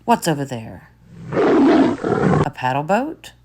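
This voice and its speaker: A woman teacher reading a children's picture book aloud, expressive, with soft whispered asides. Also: deep voice, slow, very noisy.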